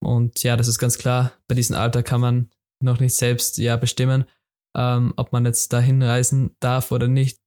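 Young man chatting in a flat voice. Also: medium pitch, moderate pace. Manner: informal, loose and repetitive, many fillers and restarts. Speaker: podcast host. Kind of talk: casual solo podcast talk.

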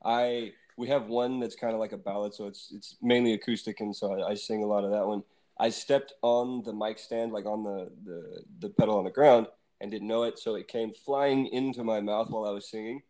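A man in his twenties, a band singer with a low voice that sometimes cracks, chatting loosely and laughing often in a remote interview. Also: brisk. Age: 20s. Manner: relaxed, rambling, laughs often.

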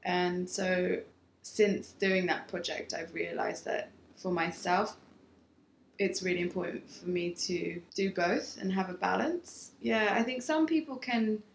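A woman photographer, talking about her work in a halting, reflective way with frequent restarts. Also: on the low side, gravelly, echoey room, a little hiss or hum. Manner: halting, thinks aloud with many restarts. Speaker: photographer, interview guest. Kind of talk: reflective casual conversation. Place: home.

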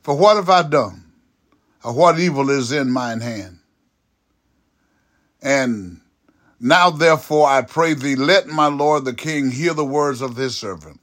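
A man's voice: mid-range voice, a little slow, pauses often, fairly bright tone, natural voice. A man reading the lines of an earnest young warrior pleading with a king, his voice a little raised.

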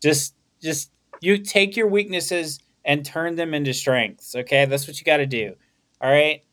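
A man's voice: on the high side, unhurried, a bit bright. Rambling, joking young man podcaster. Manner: rambling, joking, profane, laughs often. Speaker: podcast host. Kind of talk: casual comedic podcast banter.

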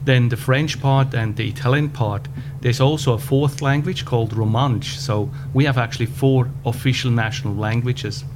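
A man of about fifty with a Swiss German accent, answering interview questions in a measured way with frequent pauses. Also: medium pitch, fairly even intonation. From Switzerland. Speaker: podcast guest, business owner. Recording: background hum.

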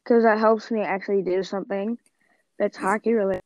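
A young woman co-hosting a casual chat podcast, answering in a low-key, brief way. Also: steady tone, a little fast, darkish tone, dry recording. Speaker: podcast co-host. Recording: dull, dry sound.

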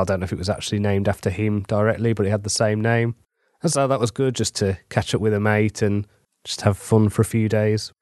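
A man's voice: lowish voice, a little mellow, no echo, studio quality. A middle-aged English man with a cold, chatting casually through a weekly update on his writing. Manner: chatty, conversational, self-deprecating asides. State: congested from a cold. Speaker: fantasy author.

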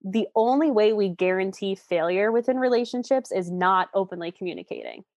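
A woman in her late twenties talks in a dry, close-sounding recording. Her pitch sits in the middle for a woman. She explains things like an informal expert, candid and enthusiastic, in loose conversational sentences. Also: fairly quick, darkish tone, no echo. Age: late 20s. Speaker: guest expert explaining relationship psychology.

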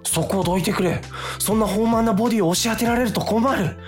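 A man's voice: high voice, fast talker, faint music behind, lightly acted. A man playing an earnest, flustered office worker who sees himself as a hero, acting and narrating a radio drama. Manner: dramatic inner monologue, earnest.

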